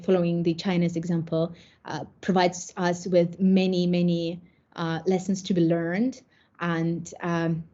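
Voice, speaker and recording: A woman's voice: on the low side, mellow, muffled recording. A woman with a Russian accent answering conference questions, hesitant, with many fillers and restarts. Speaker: academic presenter. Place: online conference.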